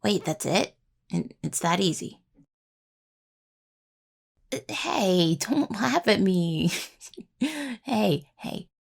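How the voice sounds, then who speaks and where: A young adult woman playing an anxious, sweet necromancer healing student in intimate roleplay audio, with a gravelly, creaky voice and a hesitant, rambling, casual delivery. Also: mid-range voice, big pitch swings, extremely slow, pauses often, exaggerated voice. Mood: playful, chatty, then bashful.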